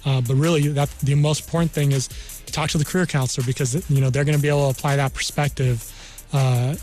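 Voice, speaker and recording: A man's voice: medium pitch, flat delivery, quick pace, a little mellow, soft background music. A man working as an army career counselor, explaining things conversationally as a radio guest and often saying "you know.". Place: radio studio.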